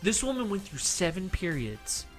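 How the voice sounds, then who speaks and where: A man co-hosting a radio comedy show, telling stories in casual banter and swearing freely as he gets animated. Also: sits high, moderate pace, faint music behind. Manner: profane, animated storytelling. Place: radio studio.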